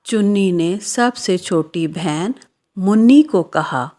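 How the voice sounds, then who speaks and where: A woman's voice: lowish voice, animated, slow pace, dark tone. A woman narrating a scripted children's story.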